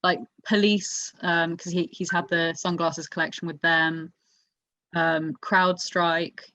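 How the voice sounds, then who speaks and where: A woman's voice: on the low side, level tone, takes it slowly, pushed and bright, no echo. A woman talking casually as a panellist in a motorsport discussion. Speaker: podcast panellist. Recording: dry, slightly dull lo-fi mic.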